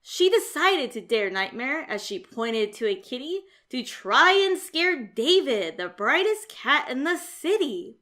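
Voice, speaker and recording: A woman reading a rhyming children's storybook aloud as narrator, in a theatrical, expressive and measured way. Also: sits high.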